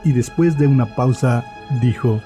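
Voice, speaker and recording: A man's voice: mid-range voice, normal speed, dark tone, faint music behind. A man reading scripted narration for a horror story as its narrator.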